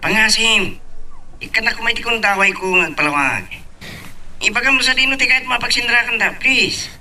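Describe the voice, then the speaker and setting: A woman's voice: on the high side, fairly quick, pushed and bright. A woman voicing an insistent, menacing ex-lover in an Ilocano drama. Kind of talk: Ilocano radio-style drama acting. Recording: distant, off-mic sound.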